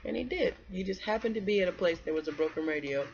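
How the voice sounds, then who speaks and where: Woman, low-pitched, children's read-aloud, conversational, question-and-pause delivery, lo-fi muffled recording. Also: animated, a little mellow. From North Carolina, United States. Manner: conversational, asks the listener questions and pauses for answers. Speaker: storyteller reading aloud to children.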